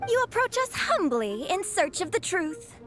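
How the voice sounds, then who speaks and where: High-pitched, theatrical fangirl. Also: big pitch swings, moderate pace, fairly bright tone, faint music behind, heavily acted. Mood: grandiose. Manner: grandiose, dramatic declaiming. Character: fervent, theatrical young girl, devoted comic fan club leader. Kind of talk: video game voice acting.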